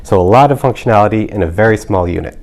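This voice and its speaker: A man's voice: fairly low pitch, fast, a little mellow, slight background noise. A man demonstrating a product, explaining it step by step in an instructional way.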